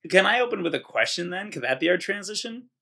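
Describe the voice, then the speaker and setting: A man's voice: high voice, expressive, fast talker, vocal fry, bright, forceful tone. A man co-hosting a casual podcast, talking in a playful, rambling way. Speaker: podcast co-host. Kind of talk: casual chat.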